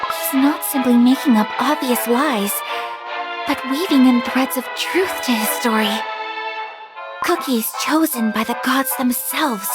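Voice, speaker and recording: Curious cartoon game cookie: high, expressive, unhurried, music behind. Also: female voice. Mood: pensive. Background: loud music.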